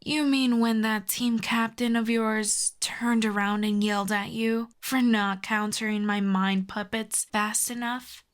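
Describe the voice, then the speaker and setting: A woman playing a proud telepathic villainess with a soft spot, in an intimate ASMR roleplay, haughty and teasing. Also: a little high, steady tone, close to an everyday voice. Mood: cool, guarded. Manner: haughty, teasing, slow deliberate delivery with soft whispers. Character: proud telepathic villainess, secretly fond of a hero.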